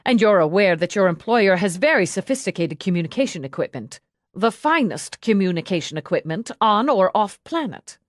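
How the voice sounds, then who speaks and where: A woman's voice: mid-range voice, big pitch swings, moderate pace, no room sound, studio quality, close to an everyday voice. A woman voicing a hard, relentless female police lieutenant in an audiobook, pressing a suspect with confrontational questions. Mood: probing. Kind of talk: audiobook character dialogue.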